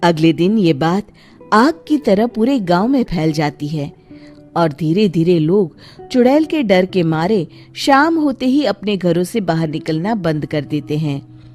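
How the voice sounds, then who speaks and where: A woman narrating a Hindi cartoon moral story in an expressive storytelling style. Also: fairly low pitch, unhurried, faint music behind.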